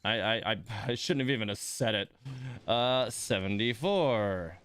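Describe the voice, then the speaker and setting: Smooth-voiced man casually streaming a game. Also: lowish voice, a little slow, a bit bright. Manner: wry, mildly grumbling, informal. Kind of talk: casual livestream commentary while playing.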